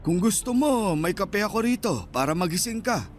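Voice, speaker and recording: An actor playing a small, shy old Filipino husband who sells at night, speaking plainly in a radio drama. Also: male voice, sits high, expressive, normal speed, soft background music. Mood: kindly, casual.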